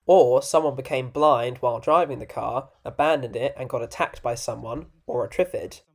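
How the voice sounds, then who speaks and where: A man's voice: mid-range voice, normal speed, vocal fry, a little mellow. Male reviewer narrating with wry asides. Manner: informal, opinionated, wry. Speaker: video essay reviewer and narrator. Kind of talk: scripted narration with casual opinion asides.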